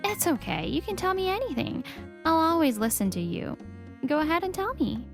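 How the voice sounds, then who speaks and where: Woman playing a high-voiced, earnest young mother. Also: big pitch swings, fairly quick, gravelly, soft background music, exaggerated voice. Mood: gentle, reassuring. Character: protective, indignant young mother and wife, narrating. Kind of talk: scripted story narration, manga dub acting.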